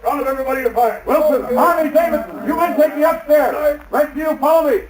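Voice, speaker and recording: A man's voice: very high-pitched, fast talker. An actor playing a commanding policeman barking orders in a 1930s radio drama. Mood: brisk command. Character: shouting, commanding policemen on a raid. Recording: very noisy, echoing old radio recording.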